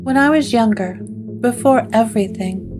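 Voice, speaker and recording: A woman's voice: fairly high pitch, moderate pace, natural voice. A woman voicing an ancient, haunted woman who speaks in a fragile, faltering way. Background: guitar music.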